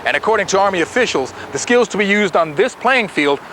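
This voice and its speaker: A man's voice: high voice, lively intonation, quick pace, fairly bright tone. Male news narrator, raised register, scripted read, faint outdoor noise. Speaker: news reporter.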